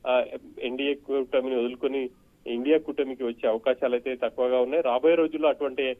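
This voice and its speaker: Halting male reporter on a phone line. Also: mid-range voice, fairly even intonation. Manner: halting, hesitant, broken phrases. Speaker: news correspondent. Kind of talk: live unscripted phone report. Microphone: telephone. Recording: muffled narrow-band phone line.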